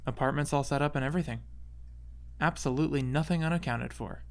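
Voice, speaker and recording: A man's voice: on the high side, fast. A man narrating a scripted video essay in a wry, casual storytelling manner, with dramatic touches. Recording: music playing behind voice.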